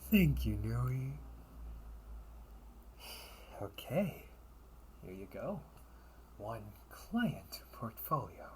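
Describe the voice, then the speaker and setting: A man performs a scheming older brother, an information broker in a sci-fi crime syndicate, for an audio roleplay. He is smooth and teasing, speaking slowly with theatrical, highly animated swings of pitch. Faint noise sits behind the amateur recording. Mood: satisfied, smug. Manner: silky, teasing, unhurried, theatrical.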